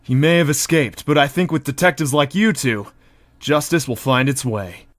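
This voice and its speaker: An actor playing a commanding yet affectionate young pony prince, earnest in manner, in an audio drama. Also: male voice, on the high side, normal speed, no room sound, close to an everyday voice. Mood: warm, grateful.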